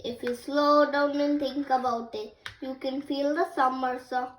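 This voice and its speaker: A six-year-old child reading picture books aloud in a halting, word-by-word way, as the host of a story time. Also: high voice, level tone, a little hiss or hum. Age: child, 6 years old.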